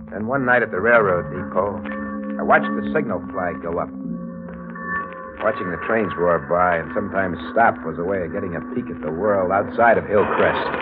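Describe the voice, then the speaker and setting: An actor playing a hardboiled, scheming drifter in 1940s radio noir, terse and slangy, heard on a muffled old recording. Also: male voice, sits low, close to an everyday voice. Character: hardboiled, scheming male drifter narrating his crime.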